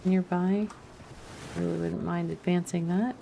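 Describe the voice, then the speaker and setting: Creaky-voiced woman streaming a game. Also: fairly low pitch, fairly even intonation, a little slow, noisy background. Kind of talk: casual let's play commentary. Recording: music playing behind. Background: clear background music.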